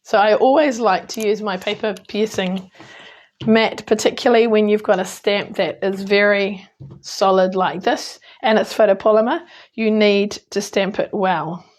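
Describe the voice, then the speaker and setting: A woman with a New Zealand accent, hoarse today, chatting through a card-making tutorial with frequent ums and small self-corrections. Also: mid-range voice, steady tone, normal speed. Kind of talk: casual crafting tutorial.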